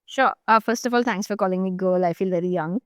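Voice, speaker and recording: A woman talks through a complex subject as an interview guest. She thinks aloud, pausing with fillers and restarting her sentences, at a middling pitch. The sound is close and dry. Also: clean tone, dry recording. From Chennai, India. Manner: thoughtful, explanatory, frequent fillers and restarts. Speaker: journalist guest. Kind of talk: conversational interview.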